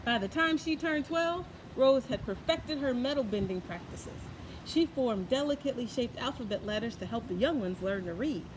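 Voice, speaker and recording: A woman telling a children's story aloud, expressive and folksy, now and then adding a comment of her own. Her voice sits a little high. Steady background noise and the sound of passing vehicles run under the reading. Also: normal speed.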